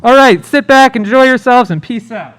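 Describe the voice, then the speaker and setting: A high, very clear male voice , acted by a man, as a breezy radio host. He chats casually and obliviously, as in a live comedy radio play. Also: normal speed, a bit bright. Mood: cheerful, unconcerned. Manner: chatty, casual.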